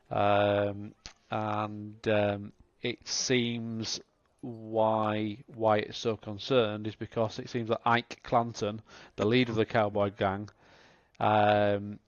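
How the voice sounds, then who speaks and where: Game master, male, gravelly, relaxed and halting, dull dry mic. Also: on the low side, no echo. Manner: relaxed narration with many fillers. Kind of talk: running a tabletop game.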